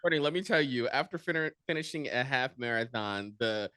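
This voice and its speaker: A woman's voice: very deep voice, lively intonation, normal speed, a bit bright, dry recording. A woman radio host chatting playfully with a guest over a video call, asking upbeat, joking questions. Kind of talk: casual video-call interview.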